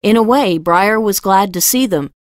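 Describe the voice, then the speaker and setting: A woman's voice: mid-range voice, normal speed, dry recording, clean studio sound. A woman reading scripted narration from a fantasy novel as an audiobook narrator.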